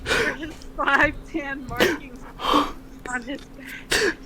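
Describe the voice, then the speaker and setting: A woman's voice: high voice, slow, sharp tone. A woman reading fanfiction aloud in a mock-dramatic style, breaking off into sweary, mocking ad-libs. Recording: unevenly balanced audio, crowd-like noise behind.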